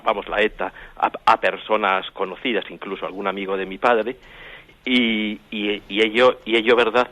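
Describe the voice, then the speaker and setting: Halting low-voiced male caller. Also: fairly bright tone, low-quality recording. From Madrid. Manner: halting, many restarts.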